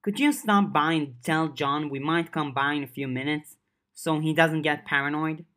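A narrator reads a practical teenage girl who leads her friends in an audiobook. She is brisk, wary and blunt, speaking firmly. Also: mid-range voice, steady tone, fairly bright tone, lightly acted.

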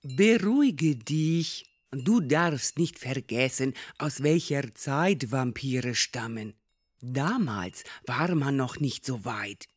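A man's voice: mid-range voice, animated, takes it slowly, breathy edge, no room sound. A man playing a domineering, haughty old female fortune-teller in a German comedy audio drama, lecturing imperiously. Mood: knowing, lecturing.

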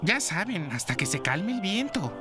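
An actor voicing a timid, excitable young male feathered dinosaur in a dubbed cartoon, hesitant and jittery. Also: medium pitch, normal speed, over music, lightly acted. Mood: nervous, hesitant. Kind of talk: animated cartoon dubbing.

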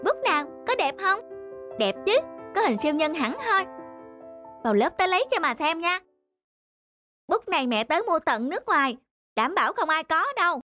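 Young schoolboy role female actor: sincere, childlike cartoon voice, extremely high, light music underneath. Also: sing-song, normal speed, pauses often. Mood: proud. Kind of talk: animated children's story dialogue. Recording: faint music behind the voice.

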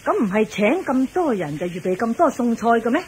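Gossipy middle-aged auntie, Hong Kong Cantonese accent, animated swooping pitch, colloquial, noisy radio drama. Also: female voice, brisk. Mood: curious, surprised. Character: good-humoured, nosy middle-aged working-class auntie.

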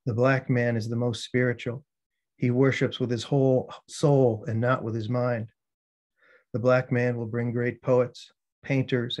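An American man giving a poetry reading, speaking as the poet presenting his own work in deliberate phrases. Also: mid-range voice, a little mellow. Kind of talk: poetry reading with spoken introductions.

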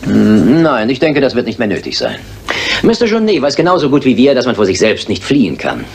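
An actor playing a calm, shrewd master detective, wry and confident, in a German radio crime drama. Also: male voice, mid-range voice, fairly quick, vocal fry, a little hiss or hum.

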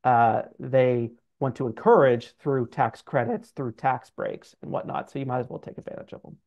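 A man's voice: mid-range voice, fairly even intonation, moderate pace, creaky, a little mellow. A middle-aged man explaining things as an interview guest in an informal, conversational way with many loose qualifiers. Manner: informal, hedging with like and sort of. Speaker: guest, financial adviser. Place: office room, indoors. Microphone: video call. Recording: dull video-call audio.

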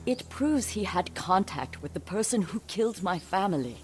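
A woman's voice: medium pitch, lively intonation, normal speed, slight background noise, close to an everyday voice. Stern, vengeful older samurai noblewoman played by an actress. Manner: stern, clipped, commanding. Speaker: voice actor in a samurai video game. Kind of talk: dramatic game dialogue.

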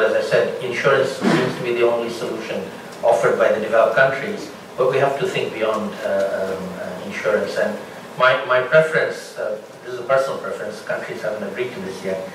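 A middle-aged man from Bangladesh speaking as a panelist, explaining his points in a measured way and building them with examples. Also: on the low side, steady tone, background noise. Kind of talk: panel discussion answer. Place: large lecture hall with audience. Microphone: room mic from a distance. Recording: echoey hall from far off.

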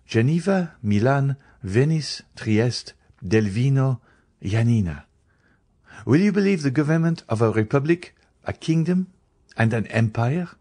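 Audiobook role: earnest young journalist , read by a man, grave and compassionate, formal, deliberate phrasing, loyal friend. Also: medium pitch, expressive, dark tone, no room sound, studio quality. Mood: earnest, insistent. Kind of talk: dramatised audiobook reading.

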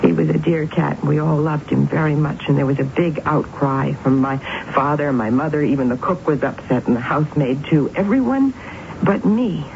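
An actress playing an intense, eerily certain young woman with visions, in a solemn, deliberate 1970s radio drama style. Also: low voice, vocal fry. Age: 20s. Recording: muffled, very noisy old radio broadcast.

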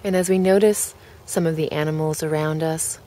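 A woman leading a guided relaxation, speaking gently in measured phrases with pauses between them. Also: sits low, fairly even intonation, rough recording. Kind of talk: guided relaxation, meditation narration.

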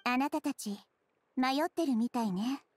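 Casting: small thoughtful deity, girlish high voice, calm, measured, gently instructive, fantasy game. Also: noisy background. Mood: gentle, teasing. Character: wise, gentle child-sized goddess, calm and thoughtful. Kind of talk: Japanese anime game voice acting.

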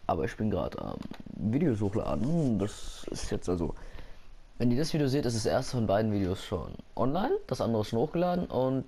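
Male let's-player, low gravelly voice, noisy capture, rambling jokes. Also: very animated, brisk, dark tone. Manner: rambling, joking to himself. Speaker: gaming YouTuber. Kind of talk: casual let's-play commentary. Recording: noisy gameplay recording.

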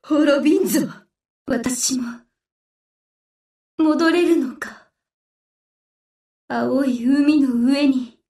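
A woman voicing a menacing, vengeful female sea demon in a Japanese game, with a drawn-out, otherworldly delivery through an echo effect. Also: high-pitched, dark tone, full character voice. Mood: bitter, defiant. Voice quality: echoing, otherworldly. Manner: slow, drawn-out, threatening delivery. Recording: echoing, distant game voice clips.

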